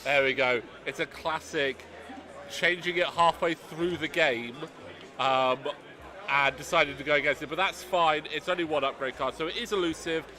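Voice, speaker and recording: A British man hosting live commentary of a miniatures tournament, speaking loudly and chattily with a self-deprecating touch. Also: fairly high pitch, normal speed. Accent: British English. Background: crowd chatter of a tournament hall.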